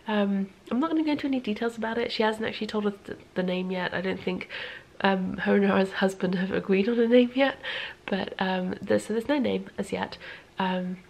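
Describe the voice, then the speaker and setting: A woman's voice: mid-range voice, steady tone, a little fast, creaky, few pauses, a little mellow. A woman chatting casually in a vlog in a rambling way, audibly congested and sniffly, sometimes dropping almost to a whisper. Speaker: knitting vlogger.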